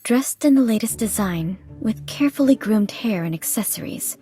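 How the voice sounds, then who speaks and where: A woman's voice: medium pitch, expressive, moderate pace, mellow, natural voice. A woman playing a polite, observant female reporter in video game cutscene dialogue. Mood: observant, musing. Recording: music behind the voice.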